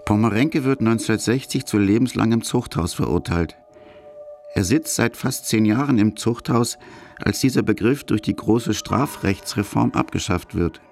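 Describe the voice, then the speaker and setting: Low, gravelly male documentary narrator. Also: moderate pace, a little mellow, soft background music. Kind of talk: scripted radio documentary narration.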